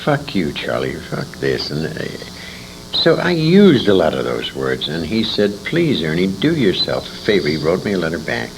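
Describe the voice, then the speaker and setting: Older American male, very low gravelly voice, veteran storyteller, emphatic and dramatic, on a noisy amateur tape. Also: huge pitch swings, moderate pace. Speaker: interviewee, war veteran and writer. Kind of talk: conversational war storytelling. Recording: noisy amateur recording with room ambience.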